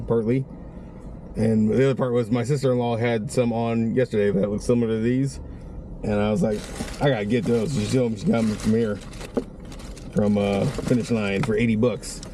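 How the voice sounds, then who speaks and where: A man with a raspy, strained voice, rambling casually through a vlog from a parked car. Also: mid-range voice, background noise. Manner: rambling, conversational, many restarts. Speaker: vlogger.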